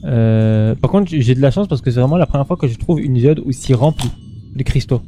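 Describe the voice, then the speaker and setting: A man with a very dark, soft-edged voice at a medium pitch gives casual game commentary. He talks informally and with slang, half to himself and half to his viewers, with clearly audible music behind him. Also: brisk. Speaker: gaming streamer.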